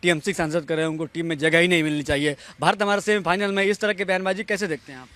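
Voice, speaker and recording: Fast-talking male field reporter. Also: a little high, fairly even intonation, fairly bright tone. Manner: brisk questioning. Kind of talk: news reporting and interview questions.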